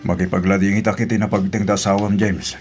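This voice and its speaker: A man with an Ilocano accent voicing Manong Guryo, an older male cousin in a radio drama, lively and consoling. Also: on the low side, fast, over music, close to an everyday voice.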